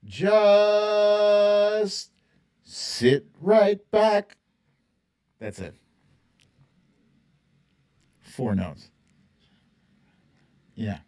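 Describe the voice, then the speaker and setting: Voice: mid-range voice, animated, drawn-out words, pauses often, dark tone, clean tone. A livestream host chatting casually and playfully with viewers, rambling and thinking aloud while running an informal quiz. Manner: playful, breaks into humming and singing theme tunes. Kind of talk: casual live chat with a TV theme quiz.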